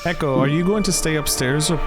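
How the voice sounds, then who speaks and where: A game master with an animated delivery, casually improvising scenes at a tabletop roleplaying game. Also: medium pitch, a little fast. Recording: music bed behind the voice. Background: music.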